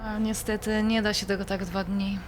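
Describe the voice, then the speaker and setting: A woman's voice: medium pitch, level tone, fairly quick, slight background noise, lightly acted. A woman playing a frank, wry wife in an audio drama, talking in a chatty, colloquial way. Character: Agnieszka, frank, wry wife working on her marriage.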